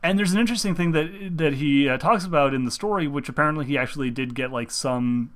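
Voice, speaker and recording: A man's voice: a little high, quick pace, a bit bright. A young man who is sick, talking casually about video game movies, meandering with many fillers and restarts. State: sick, speaking through pain. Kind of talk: casual rambling gaming commentary.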